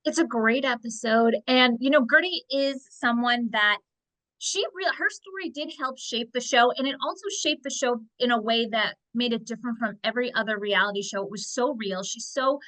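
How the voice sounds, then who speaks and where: A woman co-hosting a podcast, talking quickly and eagerly, often restarting her sentences. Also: a little high, pushed and bright, rough recording. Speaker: podcast co-host. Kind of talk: casual chat.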